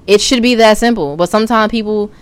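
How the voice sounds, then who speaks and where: A woman's voice: medium pitch, fast talker, smooth. A woman co-hosting a podcast in casual chat, blunt and teasing, handing out frank advice.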